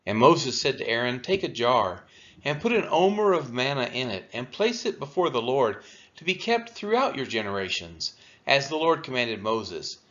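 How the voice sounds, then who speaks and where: A man's voice: mid-range voice, big pitch swings, normal speed, fairly bright tone. A man reading scripture aloud expressively, as a reader for a church. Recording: dull, lo-fi sound.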